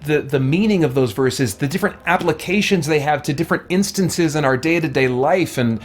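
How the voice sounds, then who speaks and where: A man's voice: fairly high pitch, a little fast. A man with a tired, worn voice, talking casually on a livestream in an earnest, reflective way and often correcting himself as he thinks aloud. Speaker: host, video game content creator. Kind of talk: casual livestream talk, answering viewer questions.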